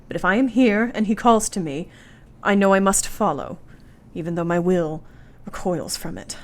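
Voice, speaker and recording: An actress playing a brave, devoted young wife in a radio play, speaking solemnly and formally. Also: female voice, on the low side, animated, moderate pace. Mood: determined.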